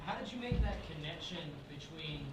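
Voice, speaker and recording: Higher-voiced man in a noisy, echoey room. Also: expressive, a little fast. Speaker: audience member asking a question. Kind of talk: spontaneous audience question. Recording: echoey room, clear background noise.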